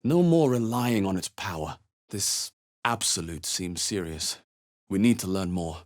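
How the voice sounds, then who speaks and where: A man playing a wary, thoughtful fantasy adventurer in a video game, musing aloud in a measured, dramatic way. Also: sits low, expressive. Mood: musing, watchful. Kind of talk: video game voice acting, short exploration remarks.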